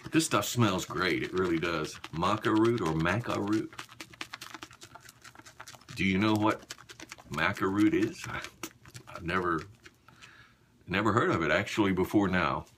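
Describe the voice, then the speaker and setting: Deep-voiced man chatting casually, water noise. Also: a bit bright. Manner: unhurried, halting, talking while busy with a task. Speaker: shaving vlogger. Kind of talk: casual chat to viewers while shaving. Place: small home bathroom.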